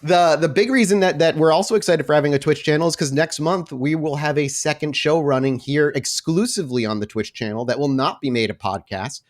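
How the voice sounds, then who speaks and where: A man's voice: a little high, fairly quick, hardly any pauses. A man speaking as a podcast host and game storyteller, chatty and informal. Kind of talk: podcast announcements and game narration.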